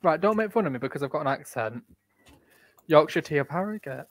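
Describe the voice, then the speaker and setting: A man's voice: a little high, expressive, a little fast. A man co-hosting a casual podcast, cracking bawdy, brash jokes. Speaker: podcast co-host.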